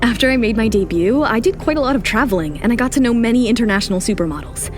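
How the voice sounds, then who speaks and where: A woman's voice: a little high, lively intonation, fast, soft background music, lightly acted. A woman voicing a proud, independent young model who speaks with composed determination in an audio drama. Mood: determined.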